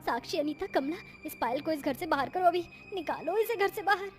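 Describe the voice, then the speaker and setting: A woman's voice: very high voice, animated, fairly quick, faint music behind. A woman playing a scornful, bullying in-law in a cartoon moral story, scolding and giving orders sharply. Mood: alarmed, angry. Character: scornful, bullying female in-laws of a village household.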